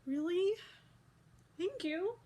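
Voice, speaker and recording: A woman's voice: very high voice, animated, drawn-out words, dark tone, voice pushed up, full character voice. A woman voicing a naive, wounded male pig in a children's storybook, in a plaintive, bewildered way. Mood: shy, sorry. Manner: plaintive, questioning. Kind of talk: children's storybook read-aloud.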